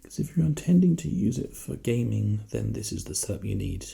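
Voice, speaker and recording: A man doing a casual, reassuring ASMR sales role play, speaking softly and chattily into a close binaural microphone. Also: mid-range voice, animated, a little fast. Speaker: ASMR performer playing a personal shopper. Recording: close binaural mic, clear background hiss.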